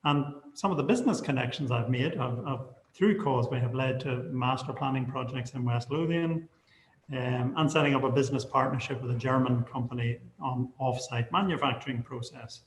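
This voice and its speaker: A man's voice: medium pitch, fairly quick, slight background noise. A middle-aged man with a Northern Irish accent, an architect, introducing himself in a friendly, plain-spoken way on a webinar over a video call. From Northern Ireland. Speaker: architect and board member. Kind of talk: online webinar talk. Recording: roomy echoey video call audio.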